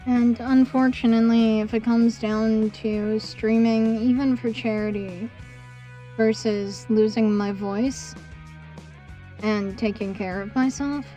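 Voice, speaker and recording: Slow-talking woman streamer losing her voice. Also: a little high, steady tone, dark tone, highs cut off, faint music behind. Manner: hesitant, self-deprecating, talks to chat. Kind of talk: live gaming stream.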